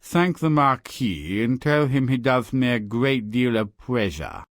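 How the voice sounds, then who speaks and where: Casting: genial, pompous storybook king, stately slow delivery with expressive swings. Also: medium pitch, no echo, studio quality. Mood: pompous, pleased. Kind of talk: video game fairy-tale voice acting.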